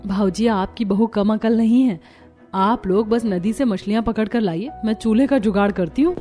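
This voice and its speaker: Over background music, a woman voices a young daughter-in-law from an animated family tale. She is cheerful and resourceful, organising everyone with brisk, practical instructions in a mid-pitched voice. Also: lightly acted. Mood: confident. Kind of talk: Hindi cartoon story dialogue. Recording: music behind the voice.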